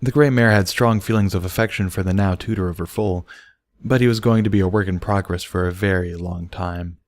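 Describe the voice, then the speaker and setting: A man's voice: deep voice, fairly even intonation, fairly quick, no room sound. A man reading scripted story narration as an audiobook narrator.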